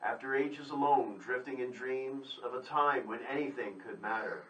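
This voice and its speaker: A man appears as a guest poet on a live radio show, talking and reading. His pitch is average. The sound is echoey and somewhat distant from the microphone. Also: moderate pace, fairly bright tone, dull audio. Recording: echoey room, away from mic.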